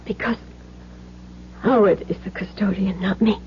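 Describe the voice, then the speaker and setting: A woman's voice: medium pitch, steady tone, moderate pace, lightly acted. An actress playing a frightened, cornered wife and mother who speaks in a hesitant, pleading way in an old-time radio drama. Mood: scared, halting. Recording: muffled, noisy old radio recording with hum.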